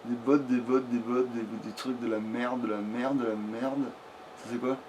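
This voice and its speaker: A man's voice: medium pitch, level tone, normal speed, a little mellow. A man giving casual, improvised video game commentary in a crude, swear-heavy way. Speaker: let's player. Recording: clearly noisy background.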